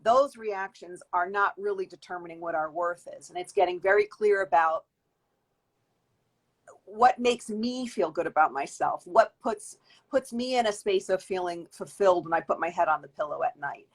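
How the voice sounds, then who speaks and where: A woman's voice: on the low side, normal speed. Reflective woman in her fifties. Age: 50s. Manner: reflective, long flowing answers with restarts. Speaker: guest, beauty industry worker. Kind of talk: conversational interview answer.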